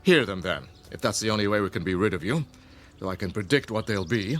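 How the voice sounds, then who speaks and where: A wealthy, protective father in a radio drama. His voice is low, his manner formal and commanding. Music runs beneath the dialogue. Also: animated, fast, a bit bright. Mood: stern, angry. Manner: formal, authoritative. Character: stern, wealthy, protective father of a grown daughter.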